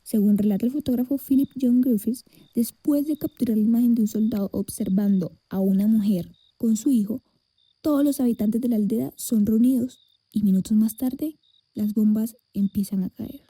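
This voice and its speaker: Woman playing a dark-voiced, husky, solemn news reporter. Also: fairly high pitch, normal speed, no room sound. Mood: grave. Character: grave woman reporter on old news tapes. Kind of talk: scripted documentary news narration.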